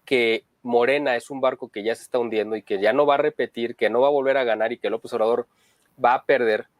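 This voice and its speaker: A man co-hosting political news commentary, talking in a steady, opinionated way. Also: mid-range voice, fast talker, no room sound.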